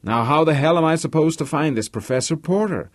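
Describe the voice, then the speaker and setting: A man's voice: on the high side, a little fast, no room sound, studio quality, voice pushed up, natural voice. A man voicing a boastful, blustering, talkative rich American racehorse owner in an audiobook. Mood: loud, jovial. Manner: loquacious, blustering. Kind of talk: audiobook character voice.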